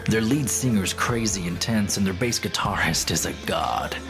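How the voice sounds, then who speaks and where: A man's voice: lowish voice, moderate pace, natural voice. An actor playing a jealous, insecure young male guitarist in an audio drama, teasing and needling his girlfriend. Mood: earnest. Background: live band music.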